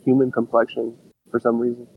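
Halting man chatting in a dry recording. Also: medium pitch, completely flat delivery, creaky, husky, no room sound, narrow, tinny audio. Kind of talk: casual fan discussion.

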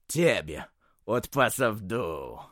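A man's voice: lowish voice, a bit bright, dry recording, studio quality, exaggerated voice. An actor playing a violent, abusive and menacing drunken husband and father in an audio drama. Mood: leering, smug. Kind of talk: Polish audio drama acting.

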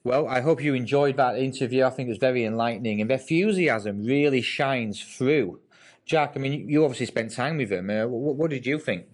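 A male host with a mid-range voice who chats easily with his guests. He teases them good-naturedly and keeps the conversation moving. He sounds relaxed and at ease. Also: mid-range voice, brisk. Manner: jovial, teasing. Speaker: radio show host. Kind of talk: casual chat.

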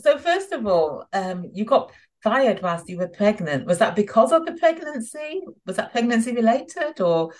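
A woman hosting an interview in a conversational style, speaking in measured phrases with pauses mid-sentence. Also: a little high, very animated. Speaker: interview host. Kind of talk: conversational hosting, introducing a guest.

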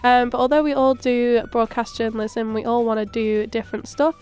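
A woman's voice: a little high, flat delivery, fairly quick, darkish tone. A young woman from Doncaster, a student co-hosting a podcast, chatting and asking questions with a nasal, cold-stuffed voice. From Doncaster, England. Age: young adult. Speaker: podcast co-host. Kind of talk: casual chat.

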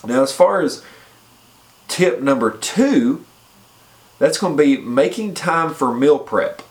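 A man's voice: on the high side, expressive, slow pace. Congested man giving casual fitness tips. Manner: chatty, repeats points and checks in with "okay?". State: congested from a head cold. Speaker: fitness vlogger. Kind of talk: casual advice talk to camera.

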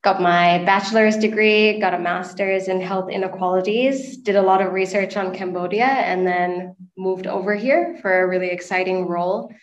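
A woman's voice: mid-range voice, steady tone, moderate pace, few pauses. Female interview guest, late 20s, from Sri Lanka, conversational, recorded in an echoey space with dull audio. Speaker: podcast guest, nonprofit and social enterprise manager.

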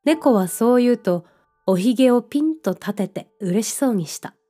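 A woman playing a gentle, dreamy young narrator in a scripted radio drama, with a soft, reflective storytelling manner. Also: on the high side, expressive, moderate pace. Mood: reflective, tender.

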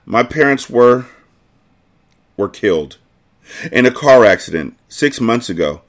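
A man's voice: medium pitch, lively intonation, measured pace, lightly acted. A man voicing a sad, guarded orphan girl in a storybook, earnest and subdued.

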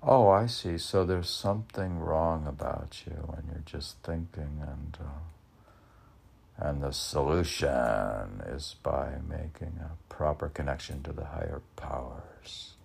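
Very deep, gravelly man, slow and halting. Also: darkish tone. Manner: halting, drawn-out delivery with trailing-off phrases. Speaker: poet and podcaster. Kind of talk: rambling podcast musing, asking and answering himself.

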